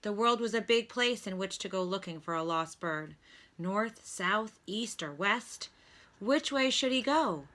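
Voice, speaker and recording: A woman reading a children's storybook aloud like a teacher, with deliberate, instructive delivery. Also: mid-range voice, animated, a bit bright. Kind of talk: read-aloud storybook narration with lesson prompts.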